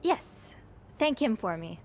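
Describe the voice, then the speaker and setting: Earnest, curious young maid. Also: female voice, on the high side, very animated, takes it slowly, a little mellow, a little hiss or hum, full character voice. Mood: thoughtful, friendly. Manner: earnest, thoughtful, polite. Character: earnest, curious young hotel maid sleuthing in 1950s mystery game. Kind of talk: conversational video game acting.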